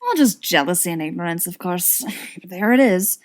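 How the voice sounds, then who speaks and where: A woman's voice: fairly low pitch, animated, moderate pace, close to an everyday voice. A woman voicing a young male wizard extremist in an audiobook, earnest and persuasive with a bitter edge. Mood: indignant.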